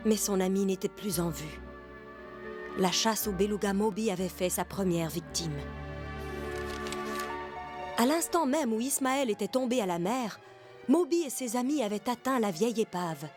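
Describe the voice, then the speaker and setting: A woman's voice: medium pitch, lively intonation, moderate pace, pauses often. A woman giving scripted storybook narration as the narrator of a children's cartoon. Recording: music behind the voice.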